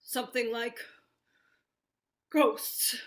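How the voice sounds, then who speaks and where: A composed nurse played by a woman in a 1940s radio drama, politely insistent. The voice is fairly high, and each phrase is slow and deliberate. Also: fairly even intonation. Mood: probing. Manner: measured, deliberate.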